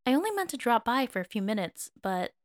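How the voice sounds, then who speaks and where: A woman's voice: fairly high pitch, sing-song, fairly quick, voice pushed up. Woman voicing an impulsive, pouty young male emperor. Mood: reluctant, grumpy. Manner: pouty, groaning, urgent.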